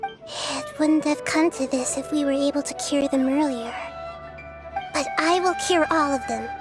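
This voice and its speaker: A woman voicing a young, girlish goddess in a game, calm, kind and thoughtful. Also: high voice, measured pace. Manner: gentle, thoughtful and composed. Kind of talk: game voice acting. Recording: game soundtrack music behind.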